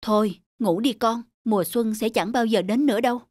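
A woman voicing a gentle snowdrop flower mother in a children's cartoon, with soft, nurturing, resigned delivery. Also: on the high side, normal speed, dry recording, clean studio sound, lightly acted. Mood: wistful, resigned.

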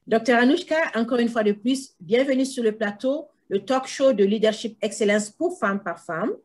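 A woman's voice: a little high, normal speed, darkish tone. A woman in her thirties hosting a talk show over a video call from a room with bookshelves, reading her guest's bio with fillers and restarts.

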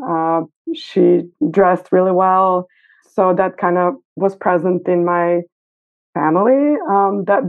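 Woman over a tinny phone-like line. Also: mid-range voice. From Serbia. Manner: thoughtful, hesitant with fillers. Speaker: guest costume designer. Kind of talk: casual interview. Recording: phone-like remote call.

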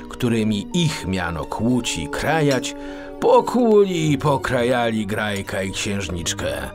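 A man narrating a children's fairy tale as an expressive audiobook storyteller. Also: on the low side.

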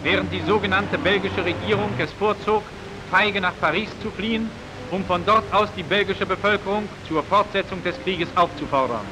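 A man's voice: high voice, normal speed, noisy background. A man narrating a 1940s wartime propaganda newsreel in a formal, declamatory manner, on an old film soundtrack. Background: music.

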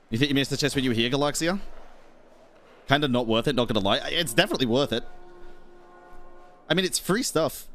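A man in his thirties giving casual, playful live commentary while streaming a game. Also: mid-range voice. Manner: excitable, playful. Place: indoor streaming setup. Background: game music.

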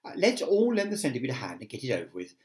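A man's voice: medium pitch, wildly swooping pitch, racing, a little mellow. Man voicing a polite, sensible lady ladybird. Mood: brisk.

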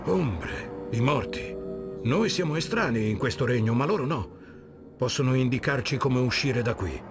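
A man's voice: mid-range voice, lively intonation, moderate pace, natural voice. Man as a solemn, cautious centaur. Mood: thoughtful. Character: cautious, thoughtful male centaur companion. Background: background music.

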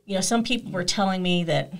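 A woman in her forties, a podcast guest in a studio, telling her story in a soft, hesitant way with many fillers. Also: lowish voice, fast. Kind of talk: personal story in interview. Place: small studio. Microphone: studio mic with headphones.

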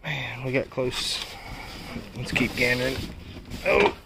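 A man's voice: medium pitch, flat delivery, takes it slowly. A man giving casual, unscripted commentary in short exclamations and questions as he goes through things, as a vlog host. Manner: short exclamations and questions, talking while rummaging. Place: storage unit. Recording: clear background noise with handling clicks.

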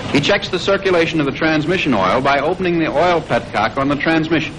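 American male narrator, 1940s instructional film, higher register, formal measured read, slightly noisy vintage soundtrack. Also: expressive.

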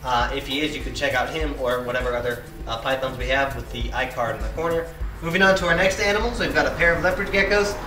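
A man's voice: mid-range voice, fast talker. A young man hosting a reptile vlog outdoors beside his car, talking casually and eagerly with lots of "uh" fillers. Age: young adult. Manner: enthusiastic, many "uh" fillers. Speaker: reptile keeper and channel host. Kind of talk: casual vlog showing animals. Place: outdoors by an open car on a dirt lot. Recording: roomy distant sound with music underneath.

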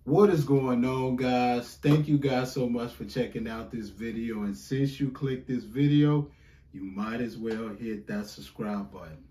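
Slow-talking man in an echoey room. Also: medium pitch, dark tone, far from the mic. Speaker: reaction video co-host. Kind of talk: casual chat with a partner. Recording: roomy, echoing home recording.

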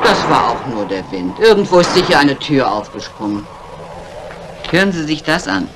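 Lebhaftes, dramatisches Dienstmädchen, verrauschtes Hörspiel. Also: female voice, low voice, sing-song, normal speed. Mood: ominous, gossipy. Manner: dramatic, eager storytelling. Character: talkative, excitable lady's maid, boastful yet easily frightened. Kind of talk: 1950s German radio mystery acting. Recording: noisy old 1950s radio recording.

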